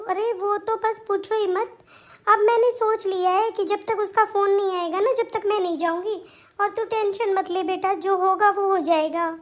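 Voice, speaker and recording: Woman voicing a very high-pitched plaintive old mother-in-law. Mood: resigned, reassuring. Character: weepy, long-suffering elderly Indian mother-in-law, affectionate. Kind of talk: melodramatic family cartoon dialogue.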